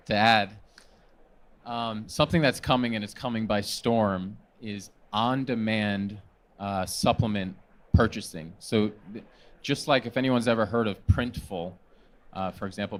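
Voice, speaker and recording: A man with a fairly low voice speaks on a trade-show panel. He explains an idea with energetic, salesy enthusiasm. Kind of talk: panel discussion.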